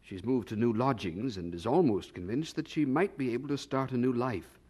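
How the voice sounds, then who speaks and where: A man's voice: medium pitch, normal speed, darkish tone, close to an everyday voice. An actor playing a kindly, eager young churchman in a radio drama, earnest and probing, with a slight stammer. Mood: thoughtful, curious.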